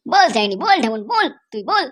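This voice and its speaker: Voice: extremely high pitch, sing-song, moderate pace, fairly bright tone, slight background noise, heavily acted. A performer voicing a hot-tempered, foul-mouthed father and husband who blusters and scolds in a comedy cartoon. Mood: furious.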